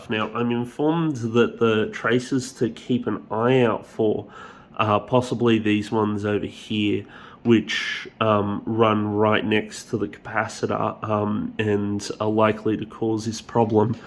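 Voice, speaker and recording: A man with a stuffy, nasal voice from a cold, casually talking through a repair with lots of ums and the odd swear. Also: fairly low pitch. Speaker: hobbyist repair vlogger. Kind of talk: casual hands-on tutorial.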